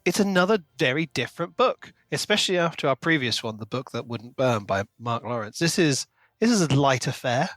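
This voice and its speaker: A man's voice: mid-range voice, expressive, a little fast, a bit bright, dry recording. A man co-hosting a book podcast, speaking in a conversational way close to the microphone. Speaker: podcast co-host. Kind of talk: casual book discussion with prepared recap.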